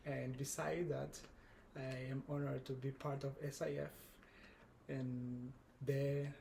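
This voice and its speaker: A man's voice: mid-range voice, level tone, creaky, dark tone, slight background noise. Angolan man with Portuguese accent. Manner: earnest, measured, with halting restarts. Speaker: guest. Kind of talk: casual conversation.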